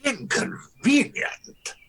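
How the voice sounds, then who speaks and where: A man's voice: drawn-out words, a bit bright. An actor playing an indignant estate-owning father in a radio drama, formal, affronted and emphatic.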